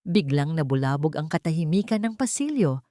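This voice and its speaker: Casting: woman narrator for dramatic audio fiction, slightly low register, emotive scripted delivery, dry studio-like sound. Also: sing-song, fairly quick, warm tone, dry recording.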